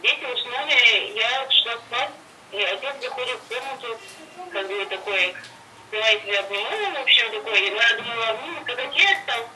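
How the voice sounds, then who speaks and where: A woman on a telephone call, giving a halting personal account in everyday conversational speech. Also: a little high, sharp tone, a little hiss or hum. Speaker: caller telling her personal story. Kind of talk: hesitant personal account over the phone. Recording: distant telephone line with faint background voices.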